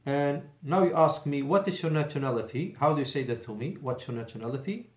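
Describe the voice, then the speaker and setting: A man's voice: fairly high pitch, a little fast. Man teaching Arabic in an echoey room. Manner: explains patiently, repeats phrases, mixes English with Arabic examples. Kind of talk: classroom Arabic lesson. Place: mosque classroom.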